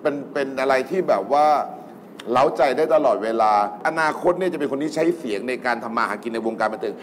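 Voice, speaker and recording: A man's voice: medium pitch, fast, a little hiss or hum. A middle-aged man giving spoken feedback to a contestant as a talent show judge. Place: TV studio stage set.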